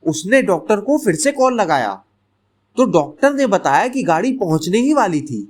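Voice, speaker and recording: Guy telling a bedtime story, pretty high voice, really expressive up and down delivery. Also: moderate pace. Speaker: storyteller, writer and narrator of a bedtime story series. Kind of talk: scripted dramatic story narration.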